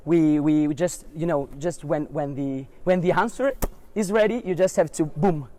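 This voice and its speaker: High-voiced Italian-accented male interviewer. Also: mellow, slight background noise. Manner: halting, wordy second-language phrasing. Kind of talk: casual sports interview. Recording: music playing behind the voice.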